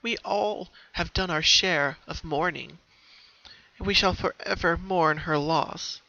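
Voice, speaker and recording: Man voicing a soft, high-pitched old grandmother pony. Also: gravelly, dry recording, heavily acted. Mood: solemn. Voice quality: soft, soothing. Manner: slow, tender, consoling delivery. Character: gentle, wise old grandmother pony, warm and solemn. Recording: dull, muffled home recording.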